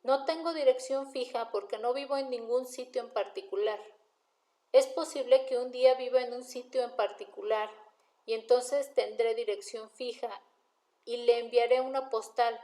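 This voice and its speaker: A wry, defiant male drifter read by a woman in a crime audiobook. He argues back sardonically and without hurry, in a mellow, rather high voice. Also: echoey room, close to an everyday voice, low-quality recording. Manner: sardonic, argumentative, unhurried retorts. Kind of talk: audiobook character dialogue.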